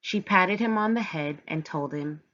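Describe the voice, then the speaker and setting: A woman reading a children's picture book aloud in a measured, deliberate storytelling manner. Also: lowish voice, fairly bright tone, lo-fi sound. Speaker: storybook reader. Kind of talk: scripted children's read-aloud narration.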